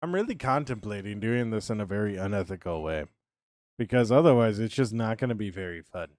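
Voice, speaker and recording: Chatty man in his thirties, lively pitch. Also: brisk, no echo, clean studio sound. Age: 30s. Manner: chatty self-talk, humming filler syllables and repeating words. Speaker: streamer. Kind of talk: casual live-stream commentary while building in a game.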